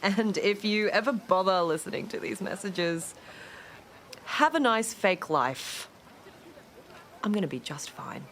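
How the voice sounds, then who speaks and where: Actress playing a jilted woman, animated, rambling voicemails. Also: medium pitch, a little slow, long pauses, background noise. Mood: defiant. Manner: hesitant, rambling, emotionally exposed. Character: jilted, awkward woman in her late thirties leaving voicemails for her date. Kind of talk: radio drama monologue as phone voicemails.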